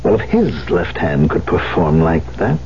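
Brooding veteran pianist, mellow, muffled. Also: male voice, low voice, big pitch swings, a little slow, exaggerated voice. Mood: brooding, wondering. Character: brooding veteran concert pianist, obsessed and musing. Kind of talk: 1970s radio drama acting. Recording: muffled, noisy 1970s radio recording.

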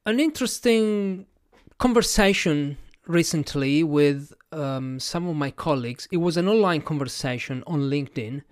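A man's voice: on the high side, animated, normal speed, no room sound, clean studio sound. A man with an Italian accent, a podcast host thinking aloud to his audience, hesitant, with many fillers and restarts. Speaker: podcast host and language educator. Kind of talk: casual reflective monologue to camera.

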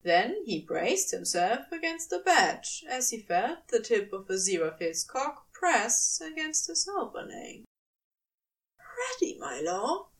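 A woman's voice: on the high side, sing-song, a little slow, creaky, hardly any pauses, far from the mic. A woman reading fiction aloud as a scripted, dramatic narration.

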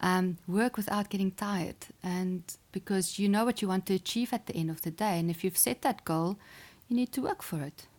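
A woman's voice: medium pitch, moderate pace. Earnest woman, interviewee. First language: Afrikaans. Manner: earnest, encouraging, with small restarts and soft laughs. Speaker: chess player and sports psychologist. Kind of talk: interview answers.

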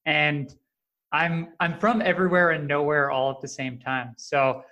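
A man in his thirties chats easily as a podcast guest. His voice sits a bit higher than most men's, and he tells his story in a relaxed, joking way. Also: measured pace, dull audio. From Canada. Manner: friendly, jokey, relaxed storyteller. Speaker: podcast guest, startup co-founder. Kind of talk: casual interview answers.

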